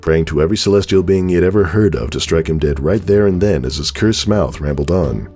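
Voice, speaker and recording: A man's voice: sits low, a little fast, darkish tone, soft background music. A man narrating a story as a scripted dramatic reading.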